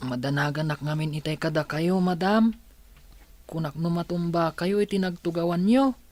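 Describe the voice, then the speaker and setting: A man's voice: a little high, normal speed, a little mellow, no room sound, close to an everyday voice. A man acting a respectful, earnest young student. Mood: concerned. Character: polite, worried young male student, orphaned and caring. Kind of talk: Ilocano radio drama acting.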